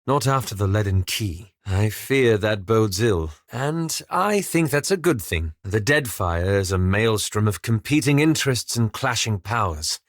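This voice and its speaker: Reserved bookish fantasy game companion, lowish voice, formal and careful, kinda anxious and wry, really dry studio sound. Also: male voice, lively intonation, no echo. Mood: concerned, grave. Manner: formal, measured, articulate, wry understatement. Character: reserved, scholarly, anxious male fantasy adventurer, courteous and candid. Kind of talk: scripted video game character dialogue.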